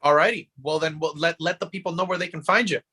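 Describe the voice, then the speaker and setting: Fast talking guy hosting a podcast, bright punchy voice, repeats himself a lot, casual. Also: fairly high pitch, husky. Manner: repeats phrases for emphasis. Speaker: podcast host.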